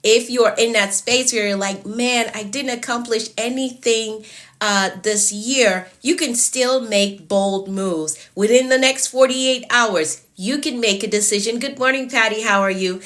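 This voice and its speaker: A woman's voice: fairly high pitch, a little slow, a bit bright. A woman with a stuffy, fading voice hosts a live stream as a productivity coach, greeting viewers by name and repeating her encouragements. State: congested and losing her voice. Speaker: productivity coach hosting a livestream.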